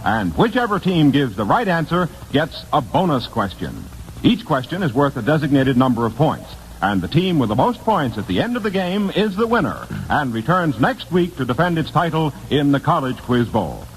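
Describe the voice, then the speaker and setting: A man's voice: fairly high pitch, very animated, normal speed, faint music behind, low-quality recording. An American man announcing a 1950s radio quiz show from a script, formal and emphatic, on an old broadcast recording.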